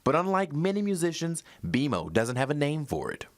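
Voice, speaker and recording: Animated male TV news reporter. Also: a little high, moderate pace. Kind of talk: scripted news feature narration with some studio chat.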